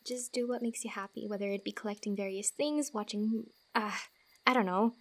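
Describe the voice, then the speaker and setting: A woman playing a friendly, teasing fantasy wanderer who gives comfort in a soft-spoken, giggly, rambling ASMR roleplay. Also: mid-range voice, fairly even intonation, brisk, close to an everyday voice. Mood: gently reassuring.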